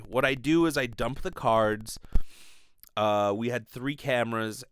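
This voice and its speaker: Man in his thirties, podcast host, animated rambling monologue, sweary, bright forceful voice, dry close mic. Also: mid-range voice, smooth, dry recording. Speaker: podcast host, improv performer. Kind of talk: casual comic monologue. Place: small bedroom, indoors. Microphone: desk condenser mic with pop filter, close.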